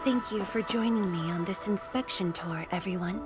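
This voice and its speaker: A woman plays a courteous woman in command in a video game. She is measured and authoritative, with an average-pitched voice. Music runs clearly behind her. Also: natural voice. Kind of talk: video game cutscene acting.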